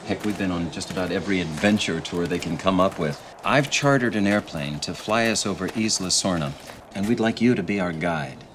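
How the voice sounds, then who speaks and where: A man's voice: sits low, lively intonation, fairly quick. An actor playing a persuasive, adventurous husband and businessman with a folksy manner in a game cutscene. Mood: eager persuasion. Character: genial adventurous husband, self-styled businessman seeking a guide. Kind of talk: film-style dialogue in a game cutscene. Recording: noisy game soundtrack behind dialogue.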